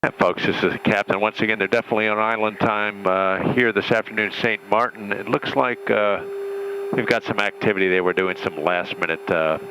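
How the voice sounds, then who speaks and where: A fairly low-voiced man talks as the captain over a humming, clearly noisy aircraft PA. His announcement is casual and folksy, addressed informally to passengers. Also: fairly even intonation, fairly quick. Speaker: airline captain.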